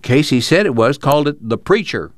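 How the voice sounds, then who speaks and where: A man's voice: medium pitch, expressive, brisk, no echo, studio quality, lightly acted. A man voicing an easygoing, thoughtful young farm fugitive in an audiobook, with a rural American accent and a plainspoken, folksy manner. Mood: reflective, earnest. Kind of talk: audiobook character dialogue.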